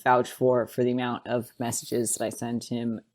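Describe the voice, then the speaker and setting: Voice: mid-range voice, little pitch movement, moderate pace, darkish tone. A podcast co-host chatting casually as a fan, gushing and repeating phrases for emphasis.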